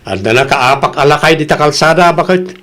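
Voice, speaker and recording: Comedy radio drama son-in-law played by a man: Filipino, brash, defiant driver, high male voice, very animated delivery. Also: a little fast. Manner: brash, boastful. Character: cocky, hot-headed jeepney driver son-in-law, proud and defiant.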